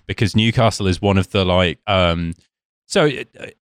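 A man's voice: sits low, normal speed, clear, resonant tone, no room sound, clean studio sound. A man with an English received pronunciation accent, chatting in a wry, joking way full of ums. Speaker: podcast co-host. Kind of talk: casual chat.

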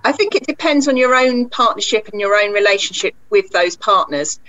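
A woman's voice: medium pitch, lively intonation, fairly quick, a bit bright, dry recording, poor audio. A woman who is a practice manager partner, speaking thoughtfully at a panel discussion and often correcting herself.

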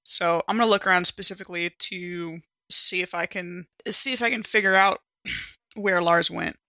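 A woman's voice: on the low side, fairly even intonation, normal speed, sharp tone, no room sound. A woman playing a tabletop roleplaying game and chatting casually at the table.

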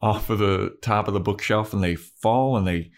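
Young male co-host, deep voice, easygoing and rambling. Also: moderate pace. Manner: relaxed, rambling, acts out lines from the show. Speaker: podcast co-host. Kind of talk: casual chat.

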